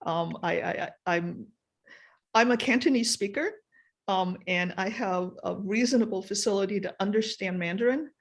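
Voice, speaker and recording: Woman, committee chair, mid-pitched, hesitant, self-repeating. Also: moderate pace. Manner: hesitant, repeats words while thinking. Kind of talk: chairing a public meeting.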